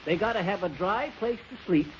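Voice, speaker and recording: A man's voice: high voice, expressive, fairly quick, fairly bright tone. An actor playing a brash, folksy, uneducated American kennel man, slangy and familiar, in 1930s radio comedy style. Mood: breezy, folksy. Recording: very noisy, muffled 1930s radio recording.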